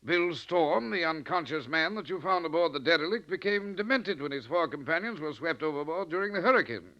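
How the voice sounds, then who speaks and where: An actor playing a skeptical ship's officer in 1940s radio style, formal and authoritative. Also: male voice, fairly high pitch, expressive, a little fast. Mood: dismissive. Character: brisk, skeptical male ship's officer in command. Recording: dull old radio drama recording.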